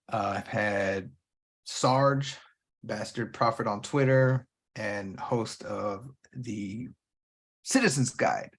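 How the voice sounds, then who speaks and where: A man hosting his own movie podcast alone, talking off the cuff in a loose, rambling way and swearing freely. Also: fairly low pitch. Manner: casual, unscripted, profane, jumps between thoughts. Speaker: podcast host. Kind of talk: rambling solo podcast talk.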